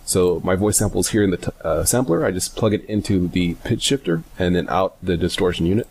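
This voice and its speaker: A man giving a casual software tutorial, hesitant and informal as he thinks aloud. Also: deep voice, a little fast, slight background noise. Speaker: tutorial host.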